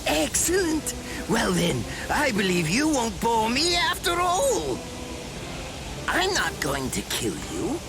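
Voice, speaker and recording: A man's voice: very high-pitched, huge pitch swings, slow, vocal fry, music in the background, heavily acted. An actor voicing an eccentric, gleeful mad scientist villain in an anime game, theatrical and sneering as he savors his words. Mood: menacing glee. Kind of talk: English-dubbed anime game acting.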